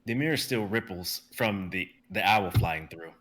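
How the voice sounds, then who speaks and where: A young man narrating stage directions in a live script reading, brisk and vivid, with the odd stumble. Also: low voice, a bit bright. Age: young adult.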